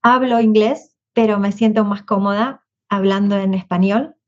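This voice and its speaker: A woman school principal and panelist speaking in her native Spanish, measured, pausing with frequent fillers. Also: medium pitch, fairly even intonation, muffled recording. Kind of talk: prepared panel answer.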